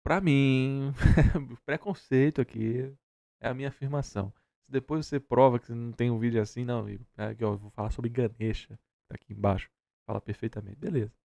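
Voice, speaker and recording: A Brazilian man speaks in a mellow, unforced tone, recorded dry and close. He is opinionated and informal, debating as a reaction streamer and hammering points by repeating them. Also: medium pitch, steady tone, a little fast, creaky, dry recording. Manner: blunt, opinionated, slangy, repeats himself for emphasis. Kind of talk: casual heated debate while reacting to a video.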